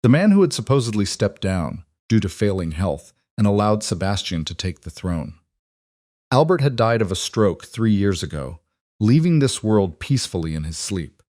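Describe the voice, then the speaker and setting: A man's voice: lowish voice, big pitch swings, normal speed, darkish tone, no room sound, clean studio sound. A man reading a scripted, dramatic piece of fiction as the story's narrator.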